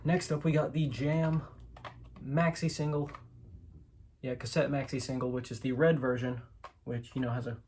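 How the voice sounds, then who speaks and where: A man's voice: medium pitch, steady tone, normal speed, pauses often, darkish tone. A man with a mid-range voice talks through a collection in a casual, unscripted vlog style. He is informal, often hedging or correcting himself. The recording has noticeable noise, with clicks and clatter behind the voice. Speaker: host, collector showing their collection.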